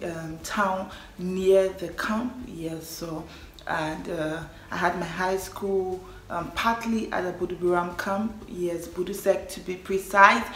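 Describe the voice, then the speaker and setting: Chatty young woman vlogging in echoey room. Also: on the low side. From Liberia. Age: young adult. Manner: chatty, affirming herself with frequent "yes". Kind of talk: casual personal vlog. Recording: echoey room with faint ambient noise.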